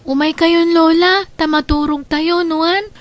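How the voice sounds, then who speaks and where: Woman playing very high-voiced, pleading young granddaughter. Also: steady tone, slow, no room sound. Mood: gently pleading. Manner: earnest, pleading. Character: caring young granddaughter, earnest, pleading, protective. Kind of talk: Ilocano radio drama acting.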